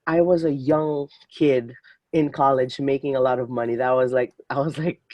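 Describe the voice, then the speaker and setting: A man in his twenties from the Philippines, a podcast guest telling his story in lively casual talk full of fillers and restarts. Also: medium pitch, moderate pace, no echo, lo-fi sound. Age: 20s. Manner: energetic, many fillers and restarts, laughs often. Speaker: podcast guest, digital marketer. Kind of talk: casual interview chat.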